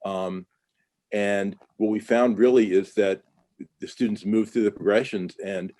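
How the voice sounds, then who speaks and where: An American man on a webinar panel, his low voice mellow and dark. He answers in a candid, conversational way full of ums and uhs, heard through a video call microphone. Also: fairly even intonation, unhurried. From United States. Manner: conversational, candid, with many fillers.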